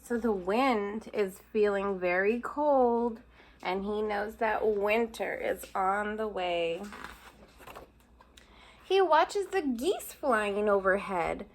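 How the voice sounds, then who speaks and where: A woman's voice: medium pitch, animated, slow. A woman teacher reading a picture book aloud to young children, stopping to point out and explain the pictures. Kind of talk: storybook read-aloud for children.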